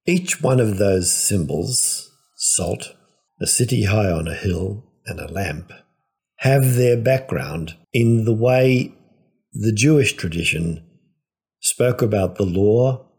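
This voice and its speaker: Priest, male, low husky voice, unhurried scholarly homily delivery. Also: lively intonation, darkish tone. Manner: measured, lecturing explanations. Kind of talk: reflective homily.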